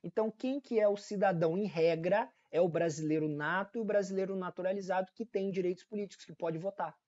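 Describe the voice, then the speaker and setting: A man's voice: high-pitched, fast, darkish tone, no echo, highs cut off. A man with a Brazilian Portuguese accent giving a casual online law lecture, repeating key points, checking in with his listeners and correcting his own slips. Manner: casual, repetitive teaching with frequent check-ins and self-corrections. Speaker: law teacher. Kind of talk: online exam-prep lecture.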